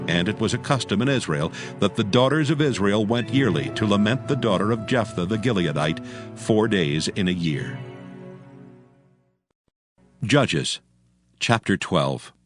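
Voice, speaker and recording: A man narrating scripture in archaic English in a solemn, measured manner. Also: fairly low pitch, lively intonation, faint music behind.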